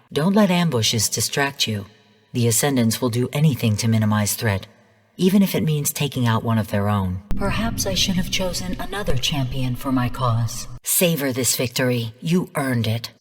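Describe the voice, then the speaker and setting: A woman's voice: very deep voice, breathy edge, exaggerated voice. Reverberant, formal female game AI. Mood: approving. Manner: formal, measured, coolly commanding. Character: calm, calculating female artificial intelligence guiding a racer. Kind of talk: scripted video game voice lines.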